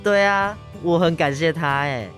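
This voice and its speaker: A man's voice: on the high side, measured pace, fairly bright tone, faint music behind. A teenage boy with a Taiwanese Mandarin accent acting a caring schoolboy son in a radio drama. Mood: grateful. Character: Ah-Zhong, caring schoolboy son, nagging his injured mother. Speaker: student voice actor.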